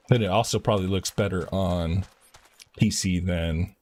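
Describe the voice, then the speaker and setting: Male game streamer, low voice with a husky edge, casual delivery, trips over words when reading aloud. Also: takes it slowly, darkish tone. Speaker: live streamer.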